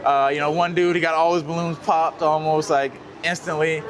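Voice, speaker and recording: A man's voice: a little high, moderate pace, slight background noise. A man casually telling a story, rambling with lots of fillers, outdoors in a city. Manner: rambling, many fillers, relaxed. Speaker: guest.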